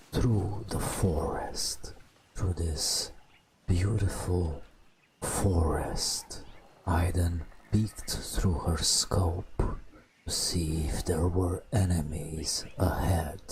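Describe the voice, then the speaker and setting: A man telling a bedtime story in an ASMR style, in a hushed breathy whisper with drawn-out phrases and long pauses. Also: background noise. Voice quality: breathy whisper with creaky low murmur. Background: video game ambience.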